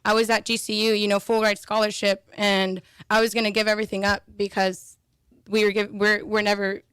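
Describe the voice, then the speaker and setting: A young woman speaks in a relaxed, rambling interview voice at a middle pitch. She leans on fillers and restarts her sentences as she goes. The sound is close and dry, with no room around it. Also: fairly quick, clear, resonant tone, no echo. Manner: chatty, with frequent fillers and restarts. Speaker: panel guest. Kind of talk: casual interview answers.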